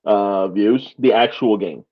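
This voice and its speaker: A man from Peru talking soccer in a casual podcast chat, halting and restarting often, with small laughs between points. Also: lowish voice. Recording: slightly dull lo-fi audio.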